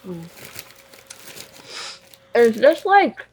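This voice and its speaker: A woman's voice: a little high, big pitch swings, drawn-out words, darkish tone, slightly rough, full character voice. A woman playing a wry tipsy small-town woman. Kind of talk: radio drama acting.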